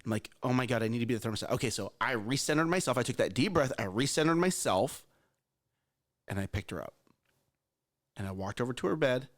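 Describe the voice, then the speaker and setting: A man's voice: mid-range voice, expressive, a little fast, pauses often. Man, thirties, earnest, repeats phrases, talks straight to listeners, casual storytelling. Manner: earnest, repeats himself, addresses listeners directly. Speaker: morning show co-host.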